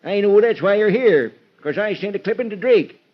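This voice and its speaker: An actor playing a crafty, cantankerous old country constable and doctor in a radio mystery, with folksy, combative, slangy rustic speech. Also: male voice, high voice, expressive, moderate pace. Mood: smug, insistent. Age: older. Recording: dull vintage radio recording.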